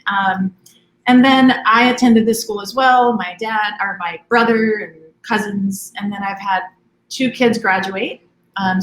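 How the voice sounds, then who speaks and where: A woman in her forties from Hawaii, a school admissions director talking relaxed in an interview, with many ums and restarts. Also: mid-range voice, roomy sound, low-quality recording. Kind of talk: conversational interview.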